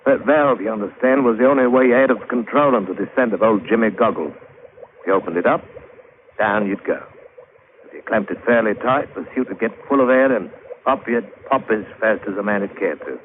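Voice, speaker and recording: Actor as deep-voiced sailor spinning a yarn. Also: male voice, a little fast, slight background noise, close to an everyday voice. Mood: brooding, nostalgic. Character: British sailor George, weathered diver telling his sea tale. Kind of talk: old-time radio drama storytelling. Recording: tinny old radio recording with music.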